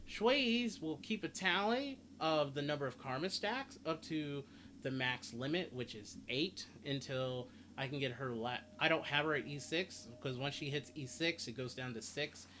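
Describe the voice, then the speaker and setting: Higher-pitched man doing casual game commentary, noisy. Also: moderate pace, sharp tone. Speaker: gaming guide creator. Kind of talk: casual game guide commentary, reading stats aloud. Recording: clear background noise, game ambience. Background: game sounds and ambience.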